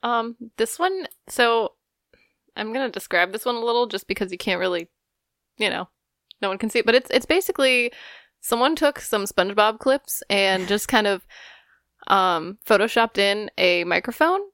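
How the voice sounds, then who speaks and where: A woman's voice: medium pitch, lively intonation, normal speed, a bit bright, dry recording, studio quality. A young woman co-hosting a podcast, chatting casually with hesitant restarts and giggles. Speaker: podcast co-host. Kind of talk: casual chat.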